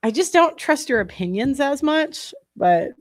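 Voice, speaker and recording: A woman's voice: high-pitched, sing-song, a little slow, slight background noise. A young woman with a nasal voice and heavy breathing, chatting bluntly and casually to viewers as a podcast host. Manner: rambling, blunt, many fillers. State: breathing heavily.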